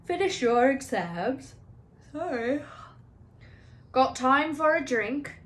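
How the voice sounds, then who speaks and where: A woman's voice: high voice, expressive, takes it slowly, fairly bright tone, pitched above the performer's own voice, exaggerated voice. A woman voicing a big friendly, rambling gamekeeper man in a children's book read-aloud. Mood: cheerful. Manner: folksy, rambling, blurting.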